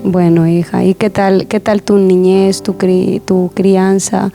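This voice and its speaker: Mellow-voiced woman hosting a church program. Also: medium pitch, flat delivery, slow, gravelly, soft background music. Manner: warm pastoral, repeats words, many affirming murmurs.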